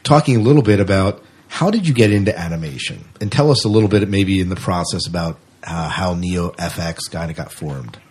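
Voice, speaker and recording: Husky, low-voiced man hosting. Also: a little fast, hardly any pauses, a little mellow. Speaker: podcast host and interviewer. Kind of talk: casual conversational podcast talk.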